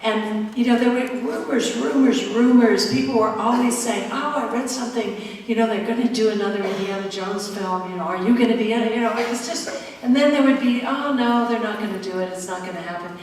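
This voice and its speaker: An older woman tells a story in a chatty, animated way, her voice a touch high. The mic sits far from her, and a big hall's long reverb rings around every word, with a faint hiss beneath. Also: fairly quick. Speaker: panel guest actress. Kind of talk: anecdote at a convention panel. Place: large convention hall. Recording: echoey hall from far off.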